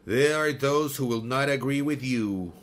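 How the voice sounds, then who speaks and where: A man's voice: mid-range voice, unhurried, close to an everyday voice. An actor playing a haughty, smug male mystic who scorns his enemy, in 1930s-style radio drama acting. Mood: scornful. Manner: mocking, pompous, laughing.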